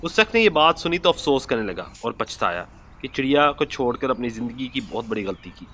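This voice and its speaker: A man's voice: mid-range voice, sing-song, brisk, pushed and bright, a little hiss or hum. A man narrating a moral story as a channel host, earnest and explanatory in manner.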